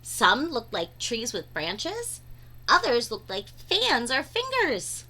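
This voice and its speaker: A woman's voice: a little high, huge pitch swings, slow, bright, forceful tone. A woman reading a children's storybook aloud expressively, drawing out words for dramatic emphasis. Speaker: read-aloud narrator.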